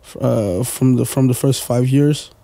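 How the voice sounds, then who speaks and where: A man's voice: mid-range voice, measured pace, vocal fry, dark tone, no echo, low-quality recording. A man, chatting casually as a podcast guest, rambling with lots of fillers and the odd swear word. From Portugal. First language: Portuguese. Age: 20s. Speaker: guest, music producer.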